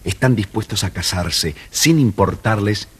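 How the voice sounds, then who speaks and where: A man narrating a children's storybook record in a measured storytelling voice. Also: lowish voice, lively intonation.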